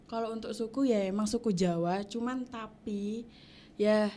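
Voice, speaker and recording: A young woman from Papua, a university student and podcast guest, answering casually with many pauses and fillers. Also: medium pitch, mellow, a little hiss or hum. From Papua, Indonesia. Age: young adult.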